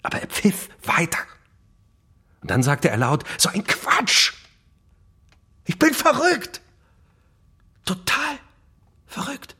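A man's voice: high-pitched, wildly swooping pitch, slow pace, pauses often, sharp tone, breathy edge, exaggerated voice. An actor playing a brooding, intense male narrator of a prisoner's inner life in a literary radio drama. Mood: restless, agitated, then self-scolding, frantic.

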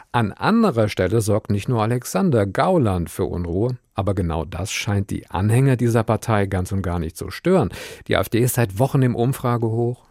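A man hosting a news podcast, presenting in a brisk, conversational way and putting questions to a correspondent. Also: fairly low pitch, darkish tone, no echo, clean studio sound.

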